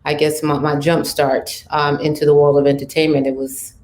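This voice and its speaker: Woman, very low flat voice, echoey room, faint background hum. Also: moderate pace. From Cameroon, raised in Paris. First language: French. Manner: casual, with many fillers and restarts. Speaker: panelist, talent manager.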